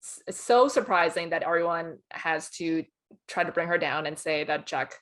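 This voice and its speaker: Casual, lower-pitched woman co-hosting. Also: moderate pace, a bit bright. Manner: laughs often while talking. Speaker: awards podcast co-host and pundit. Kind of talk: casual film awards chat.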